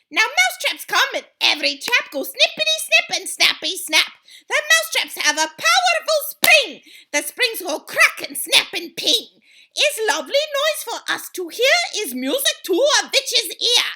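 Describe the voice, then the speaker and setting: A woman's voice: very high-pitched, pushed and bright, pitched above the performer's own voice, heavily acted. A woman voicing a cruel, gloating old witch queen who screeches and chants rhymes. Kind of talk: storybook read-aloud.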